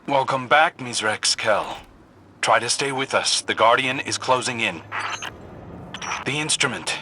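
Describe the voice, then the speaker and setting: An actor playing a calm, resolute military commander and mentor in a video game, measured and frank. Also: male voice, medium pitch, slight background noise. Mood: steady urging. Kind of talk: dramatic video game acting. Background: dramatic music.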